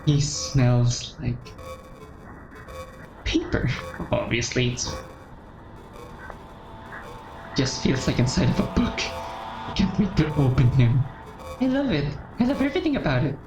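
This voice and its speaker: A woman's voice: a bass voice, very animated, a little slow, exaggerated voice. A woman voicing an obsessive, unstable lovesick teenage girl from a horror game in a dramatic reading, swinging between sweet and menacing. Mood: swooning, obsessed. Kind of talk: dramatic fan-fiction reading. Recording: roomy echo with faint music behind.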